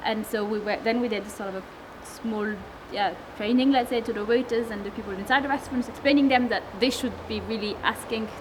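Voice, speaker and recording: A woman in her twenties from Lebanon and France, speaking in a slightly high voice. She answers interview questions casually, stopping often with fillers and restarts. Clear background noise runs under her speech. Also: fairly quick, few pauses. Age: 20s. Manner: hesitant, many fillers and restarts. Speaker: food waste network founder. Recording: noisy background.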